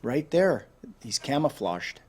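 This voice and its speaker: A man reading a children's story aloud in an expressive storytelling style, with casual asides to listeners. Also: mid-range voice, takes it slowly. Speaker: storybook reader.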